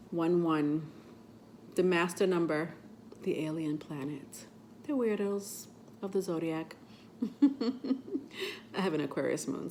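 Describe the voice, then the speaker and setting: Woman host, low-ish pitch, stuffy from a cold, chatty and rambling, light background hiss. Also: a little mellow. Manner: rambling, chatty, many fillers. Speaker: tarot reader and channel host. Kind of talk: casual vlog talk to camera.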